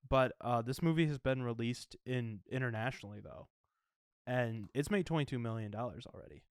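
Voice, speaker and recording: A man hosting a film podcast, chatting casually in a halting, rambling way. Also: medium pitch, clean tone, no echo, studio quality.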